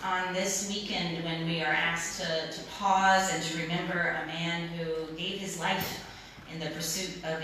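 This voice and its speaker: A middle-aged woman gives a conversational sermon in a very reverberant room, picked up some way from the microphone. Her voice sits a little low, and she speaks informally, like a pastor telling stories, repeating words to make a point. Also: pushed and bright. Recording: very echoey room, distant mic, noisy.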